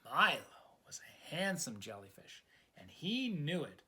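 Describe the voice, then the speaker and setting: A man reading a children's story aloud in an expressive, dramatic storytelling style. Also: a little high, measured pace. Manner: dramatic, asks the listener questions.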